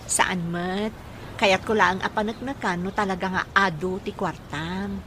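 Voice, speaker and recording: A woman's voice: medium pitch, fairly even intonation, unhurried, bright, forceful tone, a little hiss or hum. A woman playing a frank, friendly young job seeker in an Ilocano audio drama, candid and outspoken. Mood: reproachful.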